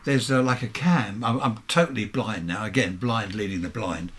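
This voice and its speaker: A man in his seventies with a southern English accent and a husky voice, giving a chatty, rambling, unscripted show-and-tell as a hobbyist, often questioning himself. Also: on the low side, moderate pace, fairly bright tone. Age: 70s. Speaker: amateur radio hobbyist presenting vintage equipment.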